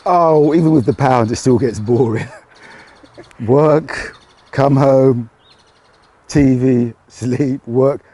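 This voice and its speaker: A middle-aged British man, a fish farmer, explaining his work outdoors in a relaxed, hesitant way. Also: mid-range voice, slow, long pauses, slightly rough. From United Kingdom. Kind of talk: casual interview answers.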